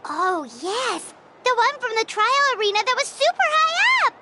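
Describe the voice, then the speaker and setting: A woman voicing a small, childlike flying fairy companion in a video game, squeaky, chatty and exclamatory. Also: big pitch swings, moderate pace, sharp tone, slight background noise, full character voice. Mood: excited. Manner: exclamatory, refers to herself by name. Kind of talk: video game character dialogue.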